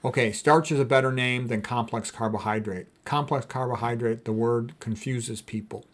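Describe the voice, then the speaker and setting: A man's voice: mid-range voice, fairly even intonation, normal speed. A man reads quotes aloud and comments on them informally as a health educator, in a folksy, emphatic way that repeats key statements.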